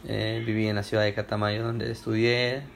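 Gravelly low-voiced young man from Ecuador. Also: level tone, moderate pace, slight background noise. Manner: hesitant, many fillers and restarts. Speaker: interviewee. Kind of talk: oral history interview. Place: a home.

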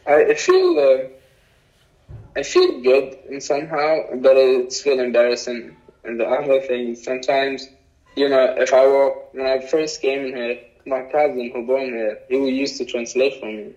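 Young guy w arabic accent, halting answers, kinda dull lo-fi sound. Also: mid-range voice, animated, a little mellow. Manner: halting, with fillers and repeats. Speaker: interviewee. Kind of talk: casual interview answers. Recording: dull lo-fi recording.

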